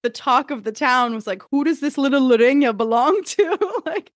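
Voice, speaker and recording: Laughing, animated woman telling stories. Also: high-pitched, moderate pace, no echo, clean studio sound. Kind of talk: casual podcast chat.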